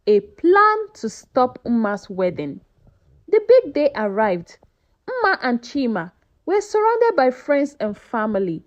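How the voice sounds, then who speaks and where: A woman narrating a folktale as a scripted story, with an expressive, dramatic delivery. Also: high-pitched, lo-fi sound.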